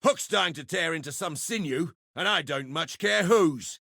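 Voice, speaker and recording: Gravelly, brash brawler. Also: male voice, on the high side, expressive, a little slow, no echo, clean studio sound, exaggerated voice. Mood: menacing. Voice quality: gravelly, forceful. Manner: taunting, swaggering. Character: brash brawling male pirate fighter, gleefully violent, taunting. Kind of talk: video game combat barks.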